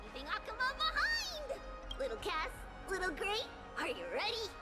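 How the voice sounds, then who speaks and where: A woman's voice: very high voice, wildly swooping pitch, moderate pace, heavily acted. A woman voicing a cheerful, childlike little girl who speaks of herself in the third person. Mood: playful. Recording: roomy game audio with loud music. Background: loud game music.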